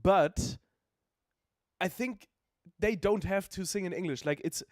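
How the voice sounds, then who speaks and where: A man with a German accent, a native German speaker, chatting casually as a co-host in a halting, self-mocking way. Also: high voice, dry recording, studio quality. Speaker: co-host reviewing beatbox competition entries. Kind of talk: casual reaction chat.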